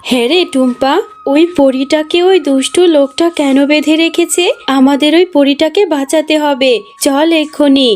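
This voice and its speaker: Woman voicing a high-pitched, tender jackal mother. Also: steady tone, moderate pace, close to an everyday voice. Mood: earnest. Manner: tender, pleading, earnest. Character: poor, gentle, devout jackal mother. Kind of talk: children's cartoon voice acting. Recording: music under the voice.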